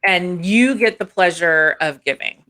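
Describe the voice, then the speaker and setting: A woman hosting a casual livestream with a deep, husky voice, congested from allergies, chatty and casually swearing while she thanks viewers by name. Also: unhurried, sharp tone. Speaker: streamer host.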